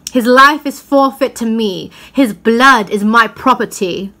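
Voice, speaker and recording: Shrill witch queen, performed by a woman, cruel, imperious, savage, declamatory, storybook villain. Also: a little slow, sharp tone. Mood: imperious fury.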